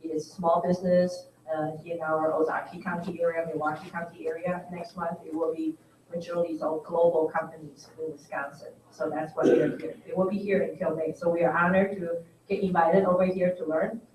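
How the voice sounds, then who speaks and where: A woman from Taiwan with a Taiwanese accent, a program director giving halting introductory remarks at a forum, with many fillers. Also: on the low side, flat delivery, darkish tone. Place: conference center. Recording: echoey hall, mic from a distance.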